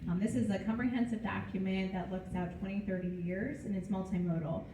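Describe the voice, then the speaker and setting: A woman program manager with a quiet, soft-spoken voice, explaining her organization's work at a panel. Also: medium pitch, steady tone, brisk. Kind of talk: live panel talk. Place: meeting room with an audience. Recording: echoey room, distant mic, clear background noise.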